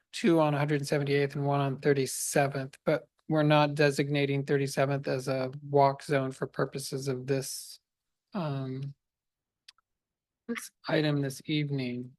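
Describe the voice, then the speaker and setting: A councilman asks careful questions about an item in a flat, even voice. He hesitates often and fills the pauses. The sound is dry. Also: on the high side, creaky, no echo. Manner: careful, hesitant, many fillers. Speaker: city councilmember.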